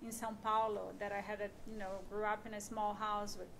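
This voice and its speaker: A woman's voice: medium pitch, little pitch movement, fairly quick, vocal fry, fairly bright tone, a little hiss or hum, low-quality recording. A middle-aged woman from Brazil with a Brazilian Portuguese accent, speaking frankly as a panelist in a conversation, with light humor. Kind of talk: panel discussion.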